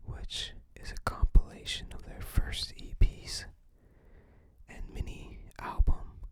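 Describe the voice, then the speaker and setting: Someone doing asmr, all breathy whisper, soft n unhurried, kinda halting as they show stuff off. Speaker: ASMR video creator.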